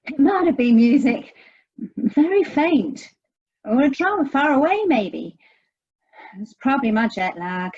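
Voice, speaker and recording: High-voiced, exasperated American woman. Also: very animated, measured pace, long pauses, a little mellow, dull audio, exaggerated voice. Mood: wry, irritated. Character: anxious, exasperated American woman searching for her estranged sister. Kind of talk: audio play acting.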